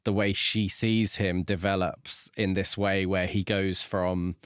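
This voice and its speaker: Guy on a book podcast, smooth clear voice, pretty low, measured and thoughtful, explaining stuff. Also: little pitch movement, no echo, clean studio sound. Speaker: podcast co-host. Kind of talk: casual book discussion.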